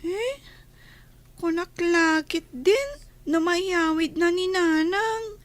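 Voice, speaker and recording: A woman's voice: very high voice, extremely slow, no room sound, full character voice. A woman playing a plaintive young child who misses a parent, in an Ilocano-accented radio drama. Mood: curious, wistful. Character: young child missing absent mother, plaintive and questioning.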